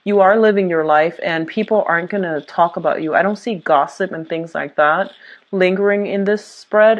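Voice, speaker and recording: A woman giving a tarot reading to viewers, talking in a reassuring way with many fillers and restarts. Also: lowish voice. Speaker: tarot reader.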